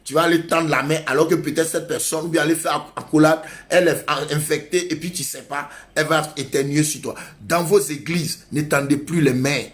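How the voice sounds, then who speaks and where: A man's voice: on the high side, fairly even intonation, a little fast, few pauses, a bit bright. A male pastor preaching a sermon, urging his congregation with emphatic, repetitive exhortations.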